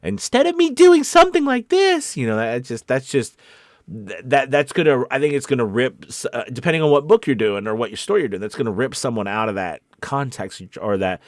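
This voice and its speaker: A man's voice: medium pitch, a little fast, no room sound, clean studio sound. A man working as a voice-over coach, talking informally and encouragingly in a loose, rambling, conversational way. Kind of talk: informal live coaching session.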